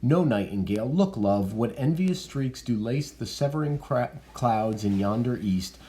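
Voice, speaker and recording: A man reading aloud the verse of a young male lover, in a measured and lofty way. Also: mid-range voice, lively intonation, mellow, pitched above the performer's own voice, close to an everyday voice. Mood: solemn.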